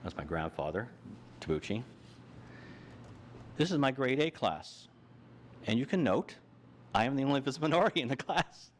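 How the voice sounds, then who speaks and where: A man's voice: medium pitch, expressive, normal speed, pauses often, a little hiss or hum. An older man giving a plainspoken personal talk on a panel, walking through his slides with frequent restarts. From Canada. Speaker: panel speaker. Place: hall with audience.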